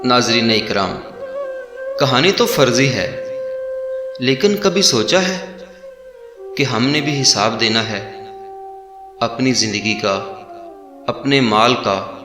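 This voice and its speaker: A man narrating a moral tale with religious reflection in a measured way, addressing listeners directly as a storyteller. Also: mid-range voice, animated. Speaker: storyteller and channel host. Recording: echoey voice over background music. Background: music.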